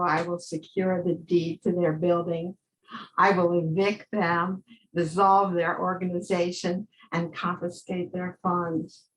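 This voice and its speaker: A woman performing a dramatic stage monologue as a proud, vengeful dead first lady, measured and defiant. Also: on the low side, natural voice, rough recording. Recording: faint background noise with clicks.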